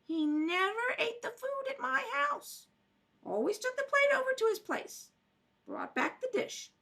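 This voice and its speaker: A woman's voice: very high voice, sing-song, measured pace, gravelly, bright, forceful tone, exaggerated voice. A woman playing a fussy, insistent neighbor with folksy, ungrammatical speech in an amateur radio play. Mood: earnest, concerned. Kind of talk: amateur radio drama read-through.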